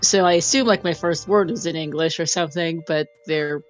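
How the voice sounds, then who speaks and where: A woman doing casual live commentary on a game tournament, chatty and quick to laugh as she reads out clues. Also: deep voice, faint music behind.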